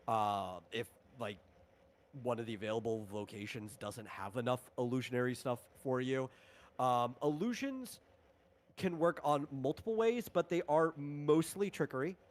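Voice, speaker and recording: Guy w a medium pitched voice explaining tabletop game rules, casual, kinda halting, rewords stuff.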